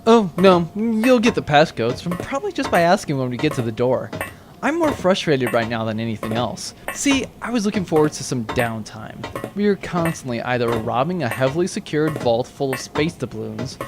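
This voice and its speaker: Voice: medium pitch, moderate pace, background noise. An actor as a wry, unflappable space-pirate accountant. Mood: weary deadpan. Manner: deadpan, wry, grumbling. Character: dry, unflappable pirate-crew accountant, weary office type. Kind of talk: comedic audio drama acting.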